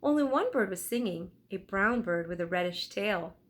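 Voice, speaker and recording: A woman narrating a children's storybook aloud in an expressive, deliberate storytelling style. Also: mid-range voice. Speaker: teacher reading aloud.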